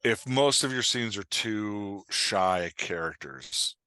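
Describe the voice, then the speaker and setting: A man talking as a coach and podcast guest, explaining things in an informal, animated way with acted-out example remarks. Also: on the low side, slow, clean tone, no echo. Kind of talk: casual explanatory podcast interview.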